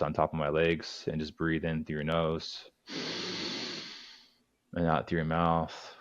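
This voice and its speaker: A man coaching breathwork as a podcast guest, calmly guiding listeners through breathing exercises in short instructive phrases. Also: a bass voice, dry recording, dull audio.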